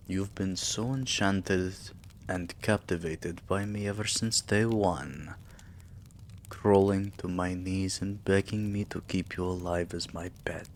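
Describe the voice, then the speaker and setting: A very low, gravelly vampire king, acted by a man, murmurs in an intimate ASMR roleplay. He is regal, possessive and doting, taking his words very slowly and often slipping into a whisper. A faint noise sits behind the close-miked voice. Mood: teasing, adoring. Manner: slow, hushed, breathy murmur, often dropping to a whisper. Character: tender, possessive immortal vampire king, soothing his beloved pet.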